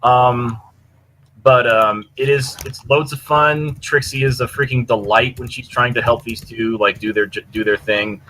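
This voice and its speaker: A man with a bright, punchy voice talks as a podcast co-host. His delivery is casual and rambling, full of enthusiasm and loose swearing. There is some noise behind him. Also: medium pitch, fairly even intonation, measured pace, rough recording. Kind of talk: casual chat recommending fan fiction.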